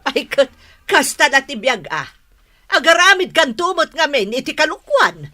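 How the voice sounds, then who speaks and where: A woman's voice: sits high, big pitch swings, moderate pace, sharp tone, exaggerated voice. A woman with an Ilocano Filipino accent playing a nosy, moralizing aunt in a radio drama. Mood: scolding, moralizing.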